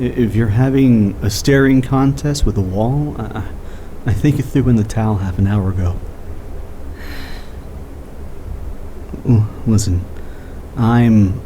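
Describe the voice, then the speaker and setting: A man's voice: on the low side, dark tone, slight background noise, lightly acted. An actor playing an awkward, cynical, short-tempered male investigator in a naturalistic audio drama. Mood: awkward, hesitant.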